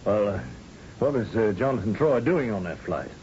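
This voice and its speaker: An actor playing a shrewd, folksy, commanding governor of a space colony in a 1980 radio drama, with hesitant asides and brisk orders. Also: male voice, on the low side, sing-song, dark tone, a little hiss or hum. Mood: thoughtful, sly. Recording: dull lo-fi old radio broadcast recording.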